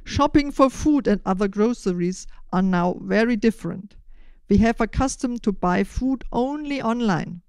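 Older German-accented woman, clean smooth voice. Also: a little high, lively intonation, normal speed, a little mellow, no echo. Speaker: podcast host and initiator. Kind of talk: prepared, scripted-sounding podcast interview answers.

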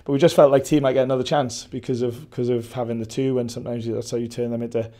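Soft-spoken Welsh football coach. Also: male voice, medium pitch, little pitch movement. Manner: measured, thoughtful, with frequent restarts and fillers. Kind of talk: post-match interview. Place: indoor press backdrop at a stadium.

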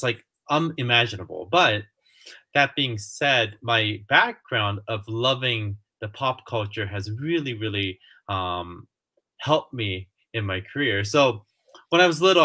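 A man's voice: lowish voice, pushed and bright. A man in his thirties from Japan, talking casually about his path into conducting over a video call, with many fillers and restarts. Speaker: music and artistic director. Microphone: headphones on a video call.

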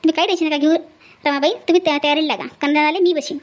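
Woman doing a kindly cartoon mom, squeaky high, talks real fast and earnest, varhadi marathi accent. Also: steady tone, lightly acted. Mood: earnest, reassuring. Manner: earnest, chatty, persuasive. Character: kindly, bustling, reassuring middle-aged village mother. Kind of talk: amateur cartoon comedy dialogue.

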